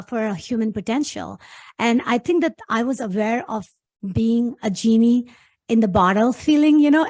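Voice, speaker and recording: A woman's voice: fairly high pitch, a little mellow. A middle-aged woman from Pakistan, a guest talking earnestly in a casual interview and laughing easily.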